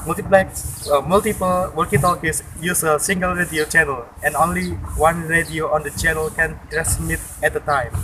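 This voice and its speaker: A man's voice: fairly high pitch, bright, forceful tone. A young man giving a memorized explanatory presentation as a student, recorded outdoors. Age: young adult. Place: outdoors in a leafy campus yard. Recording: clearly noisy outdoor recording.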